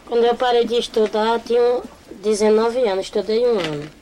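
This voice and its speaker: Halting woman outdoors, birds behind. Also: a little high, fairly even intonation, dark tone, slight background noise, low-quality recording. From Bahia, Brazil. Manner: simple, halting, unhurried speech. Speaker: interviewee. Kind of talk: plain spoken testimony.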